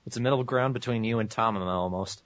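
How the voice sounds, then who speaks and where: A man's voice: fairly low pitch, fast talker, fairly bright tone, no echo. A man who voices fan dubs, chatting casually in a dry, offhand way. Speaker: fan dub voice actor. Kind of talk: casual podcast chat. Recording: dry, dull lo-fi recording.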